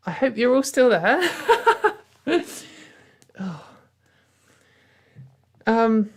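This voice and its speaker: A woman's voice: a little high, animated, extremely slow. A woman hosting a casual commentary video from home, sarcastic and exasperated, with frequent sighs and fillers.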